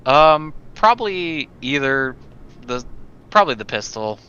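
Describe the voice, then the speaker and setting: A man's voice: a little high, very animated, a little slow, sharp tone, clear, resonant tone. A man chatting casually as a player in a tabletop game. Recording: dull lo-fi sound, background noise.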